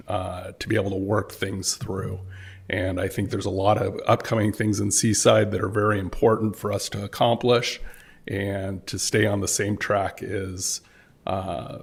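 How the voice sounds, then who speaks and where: Husky, flat-toned council candidate man. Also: lowish voice. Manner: measured, with frequent "uh" fillers. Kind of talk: candidate forum statement.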